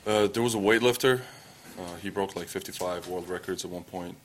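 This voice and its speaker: A young man from Estonia with an Estonian accent, answering press questions in halting English full of fillers and restarts. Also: slight background noise. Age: young adult. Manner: halting, many fillers and restarts, low-key. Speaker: athlete at a press conference.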